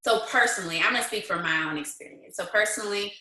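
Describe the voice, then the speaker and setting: A woman's voice: mid-range voice, fast, pushed and bright, echoey room, poor audio. A woman in her thirties telling stories as a guest, bold and emphatic, laughing often. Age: 30s. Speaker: guest, business leader. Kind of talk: casual storytelling, motivational.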